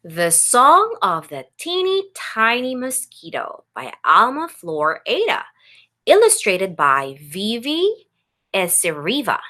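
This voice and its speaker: Animated, bright-voiced woman teacher reading to children. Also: a little high, slow pace. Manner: expressive, instructive, addressing children with questions. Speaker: pre-K teacher. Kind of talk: animated read-aloud for young children.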